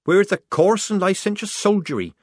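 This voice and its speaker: Brief: man voicing a sensible young woman in soldier's disguise, dry, measured, raised pitch, storybook. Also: animated, no echo.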